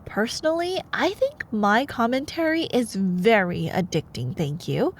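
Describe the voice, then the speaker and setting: A woman reading a bedtime fairytale in a playful, expressive, wryly sarcastic way, as in a girlfriend role-play. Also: on the high side, unhurried, a little hiss or hum. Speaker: ASMR storyteller playing a girlfriend.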